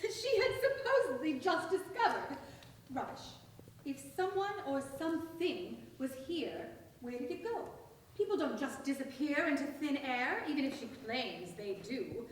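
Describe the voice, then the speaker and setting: A woman's voice: high voice, fairly bright tone. A woman playing a haughty, self-righteous cousin in a staged radio play, speaking in a disdainful, pompous way. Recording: noisy echoey hall, distant pickup.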